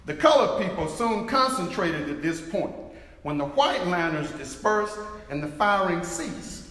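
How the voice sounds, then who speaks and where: A man acting as an eyewitness in a dramatic reading, giving grave testimony in a formal, deliberate manner. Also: sits high, very animated, fairly bright tone, full character voice. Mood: grave, measured. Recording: echoey hall.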